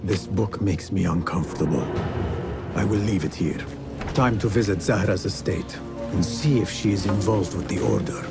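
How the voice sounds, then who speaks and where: An actor playing a calm, watchful young assassin in a video game, speaking in measured, terse observations. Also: male voice, low-pitched, vocal fry, loud music behind the voice. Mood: watchful, musing.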